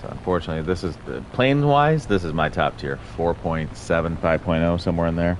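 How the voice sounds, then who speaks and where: Very deep, gravelly-voiced man streaming a game. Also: quick pace, a little hiss or hum. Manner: rambling, self-deprecating, chatty. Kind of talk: casual gameplay commentary.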